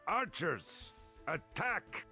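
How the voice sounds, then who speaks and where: An actor playing a scheming, arrogant Hun warlord in a video game, with a haughty, commanding manner. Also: male voice, high voice, sing-song, very slow, pushed and bright, faint music behind, exaggerated voice. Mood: hostile, commanding. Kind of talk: video game cutscene voice acting.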